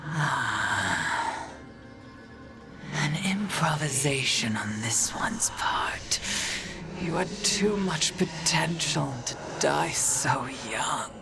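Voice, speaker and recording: A woman playing a dead female cultist's spirit in a video game, hissing and gloating in a breathy, drawn-out voice. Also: deep voice, expressive, over music, full character voice. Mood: eerie gloating. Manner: slow, drawn-out, breathy delivery.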